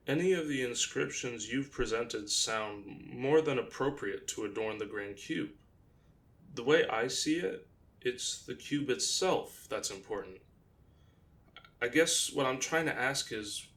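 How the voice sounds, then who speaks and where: A man's voice: medium pitch, animated, slow, a bit bright. An actor playing a hesitant, skeptical male student questioning his professor in an audio drama, tentative and careful. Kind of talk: audio drama classroom dialogue.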